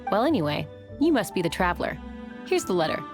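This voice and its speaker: Courteous innkeeper. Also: female voice, on the low side, sing-song. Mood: polite. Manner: courteous, measured. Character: polite adult female innkeeper. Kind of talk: video game dub acting. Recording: game audio with music behind.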